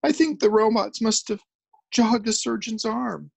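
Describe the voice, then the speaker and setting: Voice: medium pitch, expressive, a little slow, gravelly, husky, no room sound, voice pushed up, full character voice. A narrator voicing a frantic, weeping old male journalist who whimpers and raves.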